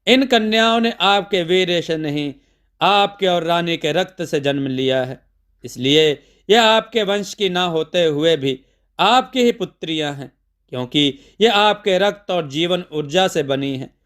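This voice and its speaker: A serene divine woman from a mythological storybook, as read by a male narrator, speaks with solemn reassurance. The voice is smooth, resonant and high for a man, calmly explaining. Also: unhurried. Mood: calm, reassuring.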